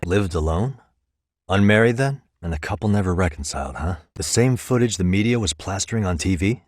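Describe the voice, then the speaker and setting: An actor playing a calm, wry private detective in his thirties in a video game, speaking in a measured, conversational way. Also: deep voice, no room sound, clean studio sound. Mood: businesslike. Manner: measured, conversational, lightly sardonic. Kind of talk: naturalistic English video game voice acting.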